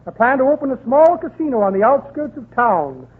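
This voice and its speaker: A man's voice: very high-pitched, sing-song, brisk, husky, exaggerated voice. An actor playing a pompous, florid con-man promoter in 1930s radio comedy, with flowery salesman's speech. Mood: grandly ingratiating. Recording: tinny, band-limited 1930s radio recording.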